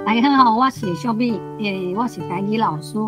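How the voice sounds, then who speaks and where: A woman in her sixties with a Taiwanese accent, a language teacher sharing personal reflections in deliberate, particle-punctuated phrases. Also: on the high side, over loud music. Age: 60s.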